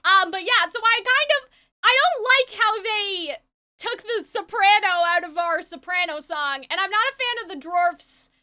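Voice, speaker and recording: A woman's voice: extremely high pitch, moderate pace, bright, forceful tone. A young woman hosting a casual reaction chat, opinionated and ranting, full of "like". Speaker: host, musical theatre performer.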